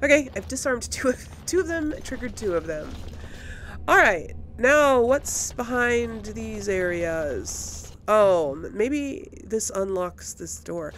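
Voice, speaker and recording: A woman gives casual gameplay commentary, thinking aloud at an unhurried pace. Her pitch moves around a lot and often drops into creaky fry, with faint noise behind her. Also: expressive, fairly bright tone. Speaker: gameplay host. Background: video game sounds.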